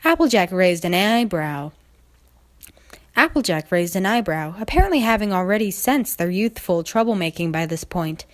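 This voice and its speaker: A woman's voice: on the low side, sing-song, normal speed. Woman narrating a story. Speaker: fan fiction narrator.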